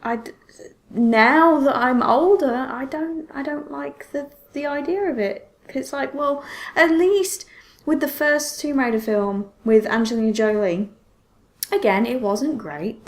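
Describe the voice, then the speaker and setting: A woman's voice: fairly high pitch, unhurried. A woman hosting a podcast in casual chat, telling things expressively with frequent self-interruptions and exclamations. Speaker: podcast host.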